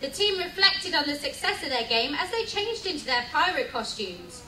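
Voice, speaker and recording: A woman narrating a scripted radio play live, reading out sound-effect cues in a lively way. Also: high voice, moderate pace. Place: cruise ship venue before a live audience. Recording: echoey distant recording, loud crowd noise.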